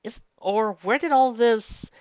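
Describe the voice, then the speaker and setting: A woman's voice: on the high side, slow, darkish tone, no echo, muffled recording. A woman giving casual, unscripted fan fiction commentary, incredulous and exasperated, often stopping to rephrase and asking rhetorical questions.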